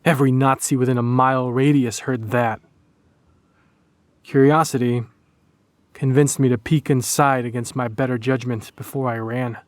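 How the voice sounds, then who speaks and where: A man playing a grim, hardened wartime soldier, gravely narrating a horror audio drama. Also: mid-range voice, steady tone, normal speed, long pauses, no echo, close to an everyday voice. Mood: grim, reflective.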